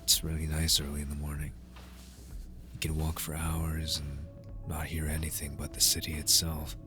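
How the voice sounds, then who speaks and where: A man playing a tender, wistful young lover in an intimate cyberpunk ASMR roleplay, hesitant and gently pleading. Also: a bass voice, monotone, moderate pace, music in the background. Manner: soft-hearted, hesitant, intimate.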